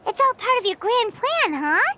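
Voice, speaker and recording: Squeaky little game sidekick, super high and chatty, big swoopy voice, loud music behind. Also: female voice, moderate pace, very noisy. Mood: curious. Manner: excitable, exclamatory. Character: tiny floating female companion, excitable and chatty. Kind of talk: video game voice acting.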